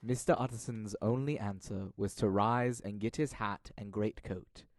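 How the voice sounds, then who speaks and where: A man's voice: on the low side, normal speed, a little mellow, dry recording. A man reading scripted narration for an audio drama as announcer and storyteller.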